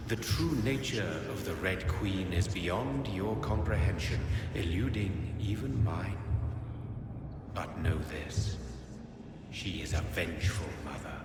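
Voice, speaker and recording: An actor playing an ancient, solemn vampire progenitor in a video game, speaking gravely in cryptic, archaic phrases. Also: male voice, low voice, lively intonation, exaggerated voice. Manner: slow, grave, archaic formal speech. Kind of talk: dramatic video game acting. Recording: echoey reverberant hall, loud music behind.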